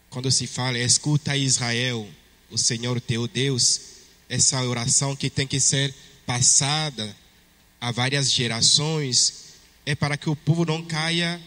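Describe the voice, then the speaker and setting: Slow-speaking Togolese priest, French accent. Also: male voice, mid-range voice, bright, forceful tone. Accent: French-speaking West African. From Togo. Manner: slow, measured liturgical delivery. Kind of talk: Gospel reading and homily at Mass. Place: church. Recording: long church reverb.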